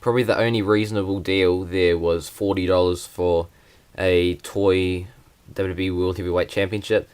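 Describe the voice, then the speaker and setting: A man's voice: low voice, steady tone, moderate pace, few pauses, a little mellow, smooth. A young man, talking hesitantly with many fillers in a casual vlog. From New Zealand. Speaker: vlogger.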